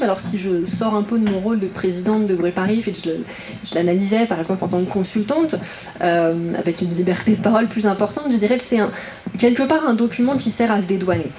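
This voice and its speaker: A woman speaking as an invited regional councillor at a public political meeting, in halting phrases with many filled pauses. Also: medium pitch, dark tone. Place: indoor public meeting room. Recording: roomy echo, audible background noise.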